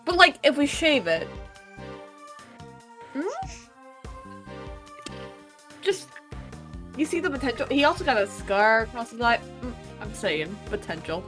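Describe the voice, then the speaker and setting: Animated, giggly woman streamer. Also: fairly high pitch, a little slow, pauses often, over music. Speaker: guest streamer. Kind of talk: casual game stream chat.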